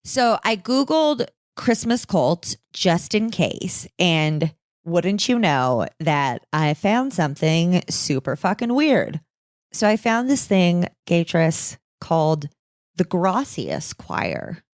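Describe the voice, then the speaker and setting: Woman podcast host, lowish voice, no room echo, chatty informal storytelling, swears a bunch. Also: sing-song, slow, studio quality. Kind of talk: casual storytelling chat.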